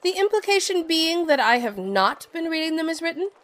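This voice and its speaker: A woman's voice: very high voice, very animated, a little fast, a bit bright, exaggerated voice. A woman playing a proud, jealous, middle-aged stage actress with biting sarcasm in a theatrical radio drama style. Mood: cool, stung. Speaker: voice actor in an audio drama. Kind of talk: theatrical old-fashioned radio drama acting.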